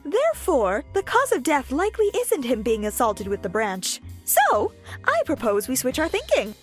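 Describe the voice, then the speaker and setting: Woman acting high, animated, morbidly eager young sleuth. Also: moderate pace, faint music behind. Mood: excited, assertive. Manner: gleeful, theatrical relish. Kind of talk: audio drama acting.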